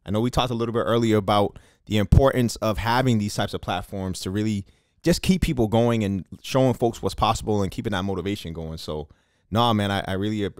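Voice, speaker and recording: A man's voice: on the low side, clean tone, no echo, clean studio sound. A man hosting a podcast interview, upbeat and encouraging, with many fillers. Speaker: podcast host. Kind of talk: casual interview.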